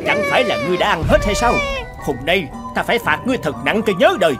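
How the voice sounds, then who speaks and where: A stern male master scolding his pets in a children's cartoon. The voice is fairly high and very animated. It is bossy and exaggerated, over clear background music. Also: fast talker. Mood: angry.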